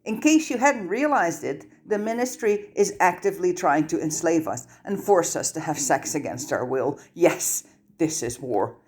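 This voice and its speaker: Casting: resolute teenage boy wizard for a fantasy audiobook, played by a woman, low-voiced, terse and commanding, a born leader. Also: lively intonation, normal speed, darkish tone, slight background noise, lightly acted. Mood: fierce resolve.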